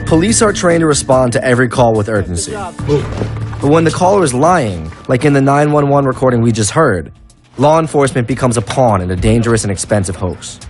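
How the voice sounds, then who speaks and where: A man's voice: mid-range voice, expressive, a little fast. Male reporter over background music. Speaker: reporter and narrator. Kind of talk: scripted documentary narration and on-location interviewing. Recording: music behind the voice.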